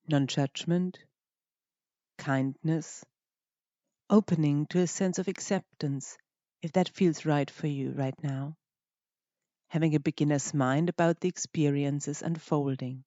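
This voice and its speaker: A meditation teacher gently guiding a practice in short, unhurried phrases with pauses between them. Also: mid-range voice, no echo, dull audio. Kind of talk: guided meditation narration.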